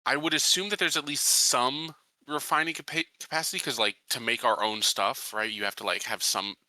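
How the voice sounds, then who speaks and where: A man's voice: mid-range voice, fairly even intonation, fairly quick, sharp tone, no echo, rough recording. A man talking casually as a player in a tabletop game discussion.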